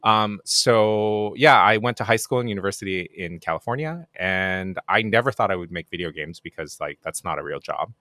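A middle-aged man from Japan with a very low voice, a native Japanese speaker talking in English. He chats easily with a self-deprecating humor and plenty of fillers. The sound is close and dry, with no room echo. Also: moderate pace, hardly any pauses. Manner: relaxed, self-deprecating, many fillers and restarts. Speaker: podcast guest, game producer. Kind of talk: casual interview chat.

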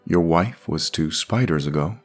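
A man's voice: low-pitched, animated, moderate pace, soft background music. A man voicing a skeptical, bewildered woman in her sixties in an audio drama, with short questioning replies. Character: skeptical sixty-two-year-old woman, dry, bewildered employee.

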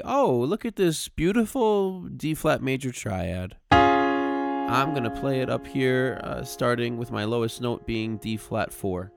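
A man's voice: medium pitch, vocal fry, clear, resonant tone. A man co-hosting a podcast like a teacher, chatting casually and emphatically, acting out imagined remarks. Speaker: podcast co-host and music teacher.

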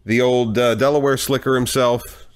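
A man's voice: mid-range voice, steady tone, normal speed, clean tone. A man hosting a movie marathon podcast, a little congested from a cold, with wry joking remarks. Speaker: podcast host. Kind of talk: casual chat.

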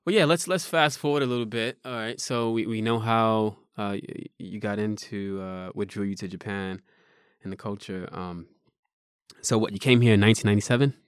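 A man hosting an interview, relaxed and chatty, with many fillers and agreeing murmurs. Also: on the low side, brisk, clear, resonant tone, dry recording, clean studio sound. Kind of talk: casual conversational interview.

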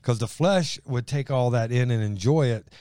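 A middle-aged man with a booming voice, chatting enthusiastically about faith and reading Bible passages aloud. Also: moderate pace, warm tone, clear, resonant tone, no echo, clean studio sound. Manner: booming, enthusiastic, acts out quotes.

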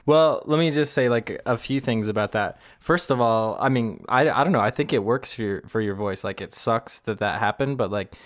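A man's voice: mid-range voice, normal speed, few pauses, dry recording, studio quality. Laid-back young man, laughing podcaster. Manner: loose, laughs often, swears casually. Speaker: podcast co-host, singer. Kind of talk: casual podcast chat.

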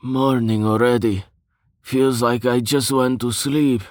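A man's voice: mid-range voice, fairly even intonation, slow, dry recording, studio quality, lightly acted. A man voicing a sardonic, grinning male adventurer who teases sarcastically in a fantasy audiobook. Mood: groggy. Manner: sarcastic, teasing.

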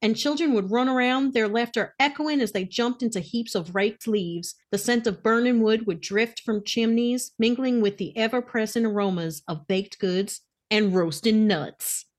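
A woman's voice: a little high, normal speed, hardly any pauses. A woman co-hosting a podcast, reading a novel aloud in a casual, playful way. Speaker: podcast co-host.

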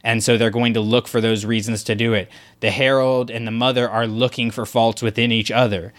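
A man's voice: mid-range voice, little pitch movement, a little fast. A man co-hosting a casual discussion podcast, talking things through in a thoughtful, analytical way with frequent restarts. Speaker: podcast co-host.

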